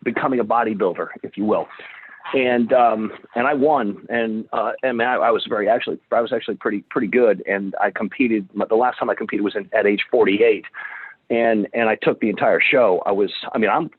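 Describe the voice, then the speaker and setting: A man's voice: on the low side, a little fast, breathy edge. A man in his fifties telling his career story as a guest, rambling with many restarts. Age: 50s. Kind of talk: storytelling interview. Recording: dry tinny phone-like line.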